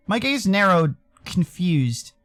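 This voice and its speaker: American man, lively intonation, theatrical storytelling, visual novel narration, music underneath. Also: high voice, slow. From Arizona, United States. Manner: theatrical, expressive. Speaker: streamer, voice performer.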